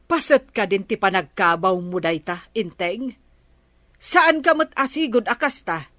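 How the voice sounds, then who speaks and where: An actress with an Ilocano accent playing the exasperated, nagging wife of a village captain in a comedy radio drama. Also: female voice, medium pitch, lively intonation, unhurried, bright, forceful tone, no room sound, clean studio sound. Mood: scolding. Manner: nagging, scolding.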